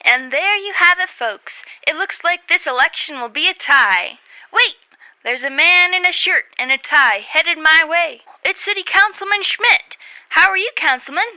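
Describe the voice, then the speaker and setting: A woman's voice: extremely high pitch, animated, normal speed, bright, forceful tone, dry recording, full character voice. A woman playing an earnest, dramatic on-the-scene news reporter in a radio comedy. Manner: announcer-like, dramatic. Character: earnest female field news reporter at a polling place.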